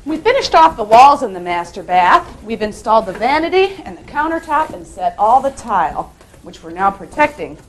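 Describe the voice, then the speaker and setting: Female crew member, higher pitch, animated delivery, friendly hands-on explainer. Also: moderate pace, a little hiss or hum, poor audio. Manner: friendly, explains each step while working. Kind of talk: hands-on how-to instruction.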